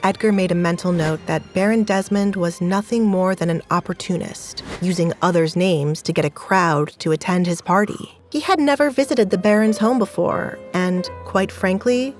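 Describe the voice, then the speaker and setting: A woman narrating a scripted romance story in an even, measured way. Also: fairly low pitch, faint music behind.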